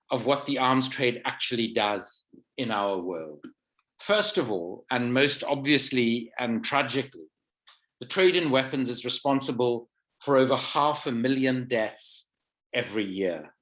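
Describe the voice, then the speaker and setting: A middle-aged man from South Africa giving a measured, emphatic campaign rally speech with a sore throat. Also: medium pitch, fairly bright tone. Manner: measured, emphatic, slows for weight. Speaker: rally speaker.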